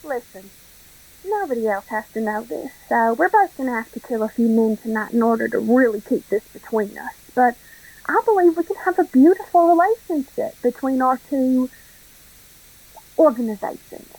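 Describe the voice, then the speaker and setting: Smug, high-voiced Southern crime boss played by a woman. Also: animated, normal speed, darkish tone, poor audio. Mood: amused, conspiratorial. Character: smug, theatrical Southern crime boss, scheming and falsely courteous. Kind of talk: radio play acting.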